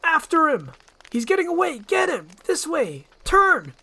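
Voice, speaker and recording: A man's voice: very high-pitched, wildly swooping pitch, a little slow, bright, forceful tone, voice pushed up, full character voice. A man voicing a bossy, impatient head guard with a curt, barking manner in a storybook reading. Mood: commanding.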